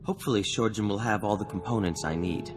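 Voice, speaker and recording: An actor playing a proud, courteous inventor in a video game, with a formal, pompous and precise manner. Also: male voice, fairly low pitch, quick pace, close to an everyday voice. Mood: courteous, pleased. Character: proud, courteous male alien inventor, grandly scholarly. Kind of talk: video game cutscene acting. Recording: long reverb, music underneath.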